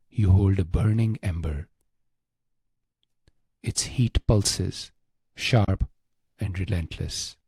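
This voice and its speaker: A man leads a guided meditation in a low, soft-edged, mellow voice. He speaks very slowly and deliberately, with a hushed, solemn delivery and long pauses. The sound is close and dry. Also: slightly rough, no room sound. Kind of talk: slow scripted guided meditation.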